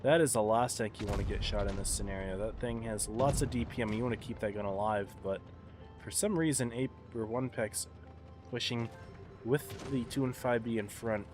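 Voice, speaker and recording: A man casting an esports match on a live stream, congested and tired, calling the play in a relaxed, subdued way. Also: lowish voice, moderate pace, over music. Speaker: esports caster.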